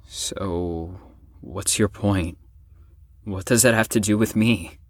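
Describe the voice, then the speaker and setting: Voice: sits low, slow pace, no room sound. An actor in an audio drama playing a guarded, sardonic recovering addict with a defensive, dry manner. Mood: skeptical.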